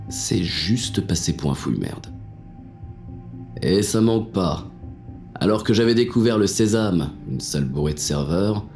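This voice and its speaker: A man playing a deep-voiced, jaded police inspector. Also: warm tone. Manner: colloquial, sardonic. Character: jaded, cynical male police inspector, gruff and irreverent. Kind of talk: first-person noir audio fiction narration.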